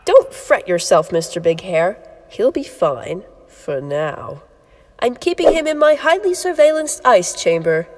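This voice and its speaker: A woman's voice: medium pitch, sing-song, in a large hall. A woman as a haughty, menacing Snow Queen. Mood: smug, scheming. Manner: grandiose, imperious, drawn-out delivery.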